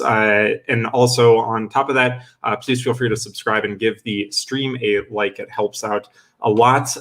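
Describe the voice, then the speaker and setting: Upbeat young man hosting a webinar. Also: medium pitch. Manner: enthusiastic, filler-heavy, restarts often. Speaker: webinar host. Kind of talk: live-streamed interview.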